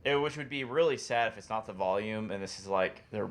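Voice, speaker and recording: Low-pitched man chatting. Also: fairly quick. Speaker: podcast co-host. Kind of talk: casual chat.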